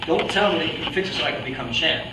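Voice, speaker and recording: A man's voice: a little high, lively intonation, racing, lightly acted. A man in an amateur staged reading playing a proud, stubborn young boxer, blunt and touchy. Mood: touchy, defiant. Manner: defiant, blunt. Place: echoey indoor room, staged reading. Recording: distant echoey room, faint noise.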